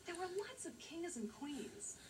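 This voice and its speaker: A woman presents a children's educational show, explaining things clearly as if to young children. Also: very high-pitched, big pitch swings, unhurried, distant mic, background noise. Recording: loud music behind, old VHS tape. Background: music.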